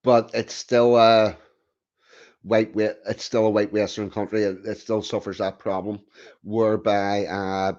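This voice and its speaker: A man with a fairly low voice talks slowly and bluntly, giving strong opinions and restarting his sentences. The sound is muffled and lo-fi. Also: flat delivery, darkish tone. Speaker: host. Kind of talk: casual chat. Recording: dull lo-fi sound.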